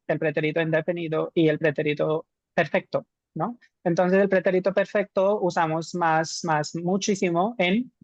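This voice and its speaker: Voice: mid-range voice, no echo. Teacher on a dull call. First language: Hindi. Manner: explanatory, poses rhetorical questions. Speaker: Spanish teacher. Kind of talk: live online teaching discussion. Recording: dull dry lo-fi call audio.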